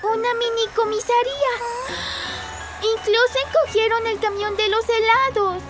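A woman's voice: extremely high pitch, steady tone, unhurried. A woman voicing a cheerful, helpful little girl in a children's cartoon dub, with music playing underneath. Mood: determined.

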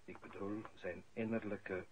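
A man's voice: fairly low pitch, level tone, unhurried, lightly acted. An actor playing a pompous, solemn clergyman in a declamatory manner in a radio play. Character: fussy, pompous clergyman, a canon at home and abroad. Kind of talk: Dutch-language radio play acting. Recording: noisy old radio recording with clicks.

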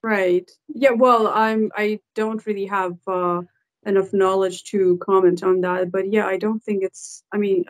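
A young adult woman who hosts a podcast, with a medium-pitched voice. She talks casually and tentatively over a video call, qualifying her points as she goes. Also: fairly even intonation, a little slow, low-quality recording. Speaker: podcast host. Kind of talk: casual conversational interview. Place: indoor room, video call.

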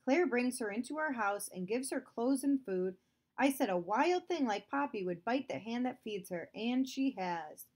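A woman reading aloud, voicing a stern, domineering father who accuses a girl of theft, louder and higher than her narration. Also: animated, moderate pace. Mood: accusing.

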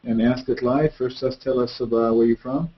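A man's voice: medium pitch, steady tone, moderate pace. Man hosting a muffled video call. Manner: hesitant, many fillers, friendly moderating. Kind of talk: casual online Q&A. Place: office with painting on wall. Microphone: webcam mic on video call.

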